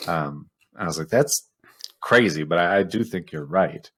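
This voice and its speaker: A man's voice: deep voice, measured pace, a bit bright, husky. A man co-hosting a podcast in a casual, conversational style. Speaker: podcast co-host.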